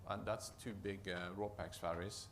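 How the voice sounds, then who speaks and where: A man with a Norwegian accent giving a conference presentation as a project manager, often halting to restart and repeat words. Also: sits low, steady tone, noisy background. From Norway.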